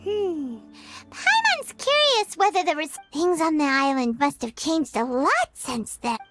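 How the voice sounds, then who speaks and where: A woman voicing a tiny, childlike fairy companion in a video game, cheeky, curious and squeaky. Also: sing-song, unhurried, fairly bright tone, soft background music, heavily acted. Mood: curious, musing. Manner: refers to self in third person, exclaiming. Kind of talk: video game character dialogue.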